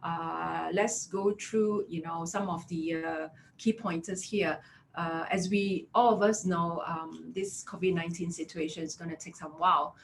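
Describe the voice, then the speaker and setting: A woman's voice: fairly low pitch, fairly even intonation, unhurried, slight background noise. Malaysian woman coaching a career webinar. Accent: Malaysian English. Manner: chatty, filler-heavy, affirming with "yeah" and "right?". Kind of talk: webinar presentation.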